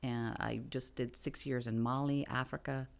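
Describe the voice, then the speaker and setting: Very low, gravelly middle-aged woman. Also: normal speed. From Belgium. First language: French. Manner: relaxed, hesitant with many fillers. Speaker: guest. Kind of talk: interview, telling her life story. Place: studio.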